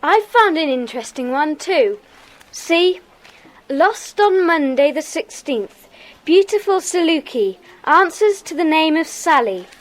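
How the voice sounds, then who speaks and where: A woman's voice: sits high, sing-song, measured pace, poor audio. A woman playing an inquisitive schoolgirl detective in a children's audio drama, earnest and questioning. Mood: curious.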